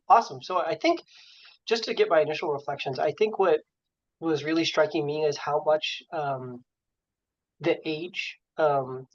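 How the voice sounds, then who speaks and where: An upbeat man co-hosts a medical case discussion, reasoning aloud with frequent fillers. His voice sits fairly high for a man. The video call audio is dull and lo-fi. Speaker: co-host of a case conference, physician. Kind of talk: casual hosting and clinical reasoning.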